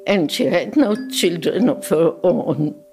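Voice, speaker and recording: Slow, gravelly older woman. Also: lowish voice, lively intonation, a little mellow, faint music behind. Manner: slow, reflective. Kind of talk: interview.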